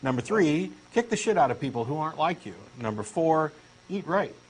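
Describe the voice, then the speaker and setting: Eager, pushy man with lively pitch. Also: a little fast, husky, low-quality recording. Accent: Texan. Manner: eager, insistent true believer, earnest and pushy. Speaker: co-host doing a comic dramatic reading. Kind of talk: comic scripted dialogue reading.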